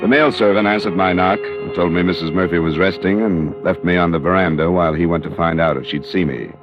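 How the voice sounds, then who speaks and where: Man playing hard-boiled american investigator, really low voice, clipped and dry, old muffled radio show. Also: steady tone, brisk, music in the background, lightly acted. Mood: dry, matter-of-fact. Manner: clipped, dry, matter-of-fact. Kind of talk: 1950s radio detective narration and dialogue. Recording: dull vintage radio recording.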